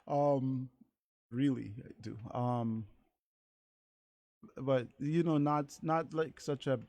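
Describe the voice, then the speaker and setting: A man's voice: mid-range voice, fairly even intonation, takes it slowly, darkish tone. A man who is a singer guest on a radio show, talking candidly and hesitantly with many fillers. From Saint Thomas. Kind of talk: casual interview chat. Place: radio studio.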